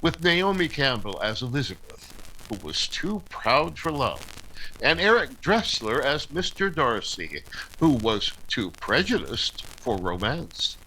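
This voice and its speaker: A man's voice: medium pitch, sing-song, slow pace, bright, forceful tone, slight background noise, exaggerated voice, rough recording. A man announcing and narrating in a theatrical 1940s radio style.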